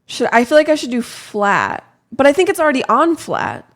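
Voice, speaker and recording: A woman's voice: high voice, very animated, normal speed. A young woman streamer chatting casually, self-deprecating and fussing with her microphone setup. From lives in Texas. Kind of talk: casual live-stream chat.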